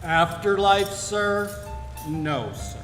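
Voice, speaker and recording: An unctuous waiter, played by an actor in a live radio comedy, speaking slowly with swooping, deferential phrases and faint condescension. The hall rings around the voice, with faint music behind. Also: mid-range voice, expressive. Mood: patient, smug. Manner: formal, deferential, slightly condescending. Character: unctuous, unflappable waiter at an end-of-universe restaurant.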